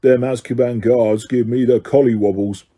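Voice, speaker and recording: A man doing a slow, gruff bus driver. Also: mid-range voice, fairly even intonation, warm tone, natural voice. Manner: slow, grunting, terse.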